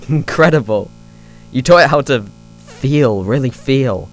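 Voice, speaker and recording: A man's voice: medium pitch, lively intonation, takes it slowly, gravelly, soft background music, poor audio. An actor playing an effusive, money-minded male tech boss in an audio drama, speaking in a businesslike way. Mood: impressed, greedy. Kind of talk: naturalistic audio drama acting.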